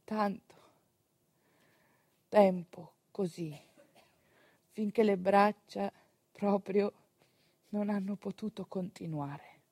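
A female village storyteller, delivering a theatrical monologue. She is emphatic and dramatic, leaning on pauses and repetition. The sound is dry and close, and her voice sits at an average pitch for a woman. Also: steady tone, a little mellow, husky, dry recording. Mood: grave, hushed. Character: female storyteller recalling a rural midwife's work. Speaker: stage actress narrating a monologue.

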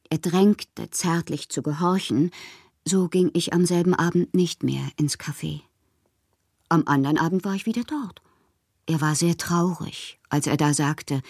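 Husky, breathy, passionate bohemian poetess. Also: female voice, lowish voice, no echo, clean studio sound. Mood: earnest, troubled. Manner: theatrical, dreamy, often slipping into breathy whispers. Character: passionate, eccentric bohemian poetess reading out her fanciful letters. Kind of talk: dramatic literary monologue in a German radio play.

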